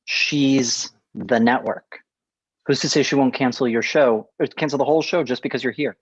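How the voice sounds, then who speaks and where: A man playing an uneasy, blunt forty-year-old TV producer in a comedy script read, a little halting. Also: medium pitch, slightly rough, lo-fi sound, natural voice. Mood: blunt, weary.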